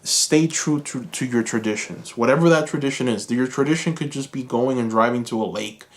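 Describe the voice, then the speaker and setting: A Cuban American man giving a calm, reassuring tarot reading, speaking slowly and soothingly to his viewers. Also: medium pitch. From United States. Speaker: tarot reader. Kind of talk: pick-a-card tarot reading.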